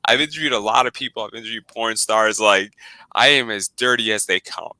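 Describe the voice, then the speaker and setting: Casual host in his twenties, low bright voice, clear and dry, rambling delivery. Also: brisk, no room sound, rough recording. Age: 20s. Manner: rambling, repeats words, laughs often. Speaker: podcast host. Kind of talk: casual chat.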